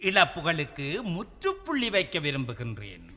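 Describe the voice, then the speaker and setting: A man with a Sri Lankan Tamil accent playing an anguished, fatherly royal elder in a radio drama, speaking in solemn, declamatory, drawn-out phrases. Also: a little high, huge pitch swings, bright, forceful tone, full character voice. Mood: grave pleading. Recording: long hall-like reverb.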